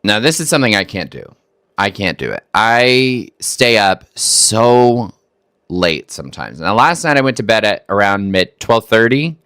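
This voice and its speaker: A man's voice: on the low side, a bit bright, clear, resonant tone, dry recording, clean studio sound. A man from Texas hosting a reaction video, making wry, skeptical remarks that stumble over restarts. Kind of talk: casual commentary on a clip.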